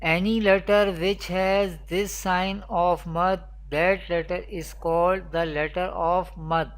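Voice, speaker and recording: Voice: mid-range voice, steady tone, takes it slowly. A Quran teacher giving a scripted online lesson in a didactic, repetitive manner, asking questions and then answering them. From Pakistan.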